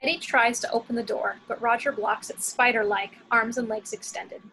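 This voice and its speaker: A woman's voice: on the high side, steady tone, moderate pace, background noise. A woman reading scripted action descriptions as the narrator of a screenplay table read.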